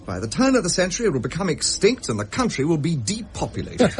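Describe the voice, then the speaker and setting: A man's voice: a little high, wildly swooping pitch, quick pace, a little hiss or hum. A man playing a roguish, jovial Victorian bachelor captain with an English accent and a jaunty, blustering manner in a period drama. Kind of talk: period radio drama acting.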